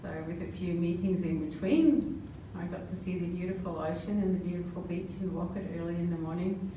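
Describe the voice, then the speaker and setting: A middle-aged woman from the Netherlands addresses a live audience as a motivational business speaker. Her voice is on the low side and heard from a distance, in a very echoey space. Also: a little fast, mellow, highs cut off. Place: roomy live event venue. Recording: echoey, distant, noisy room recording.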